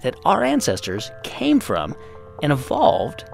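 A male podcast host narrating and explaining in a lively storytelling manner. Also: medium pitch, slow, gravelly, faint music behind. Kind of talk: scripted narration and interview.

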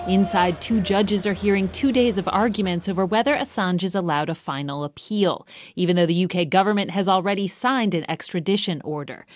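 A woman's voice: lowish voice, brisk, hardly any pauses, soft background music. A woman delivering a scripted report as a radio news correspondent.

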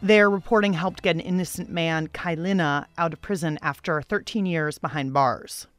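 A woman's voice: on the low side, normal speed. An American woman hosting a radio show, narrating in a playful, chatty, wry way. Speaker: radio show and podcast host. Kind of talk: playful conversational scripted narration. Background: music.

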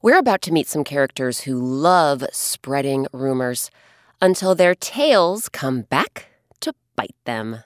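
A woman hosting and narrating a scripted children's story with expressive, emphatic delivery and dramatic pauses. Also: extremely low pitch. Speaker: host and narrator. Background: music.

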